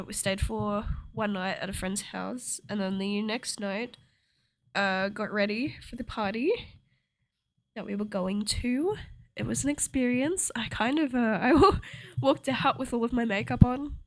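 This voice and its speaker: A young woman vlogging in a chatty, rambling way, with a slightly croaky voice and frequent laughter. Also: mid-range voice, steady tone, measured pace. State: a little croaky. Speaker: cosplay vlogger. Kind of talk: casual chat to camera.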